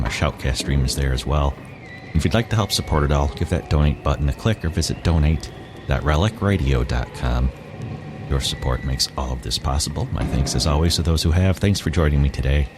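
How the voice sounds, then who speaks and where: Very deep-voiced male podcast host. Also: background noise. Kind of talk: scripted hosting over music.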